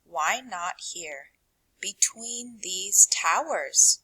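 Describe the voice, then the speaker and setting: A woman reading a picture book aloud to children, with dramatic, deliberate phrasing that drops to a hush and rises for emphasis. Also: mid-range voice, animated, husky, poor audio. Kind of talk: expressive read-aloud narration for children.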